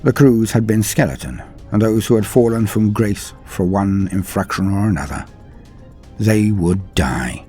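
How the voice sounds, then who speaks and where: A man reading dramatic scripted war fiction as a storyteller, in a grave, measured delivery with deliberate pauses and falling phrase endings. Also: lowish voice, mellow. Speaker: narrator of a fan-written war story. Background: music under the voice.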